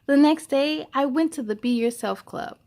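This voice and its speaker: A woman's voice: high voice, moderate pace, warm tone. A woman hosting a children's story time, reading aloud expressively with chatty asides and speaking encouragingly straight to young listeners.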